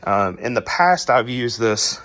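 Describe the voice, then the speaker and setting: A man hosting a casual garage how-to vlog while working on a car, his voice a little raspy as he gets over a cold, talking in a laid-back, rambling way with plenty of fillers. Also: medium pitch, expressive, a little slow, a bit bright. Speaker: garage channel host.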